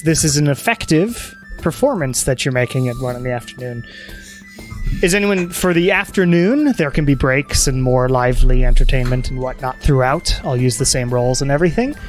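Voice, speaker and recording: A man's voice: mid-range voice, moderate pace. A man running a tabletop game as game master, narrating loosely with many fillers. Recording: faint background music.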